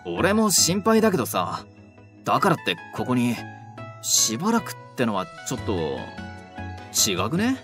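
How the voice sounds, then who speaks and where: A man's voice: medium pitch, wildly swooping pitch, measured pace, over music. A man voicing a young delinquent who is defensive and easily flustered, in an audio drama. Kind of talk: Japanese boys' audio drama.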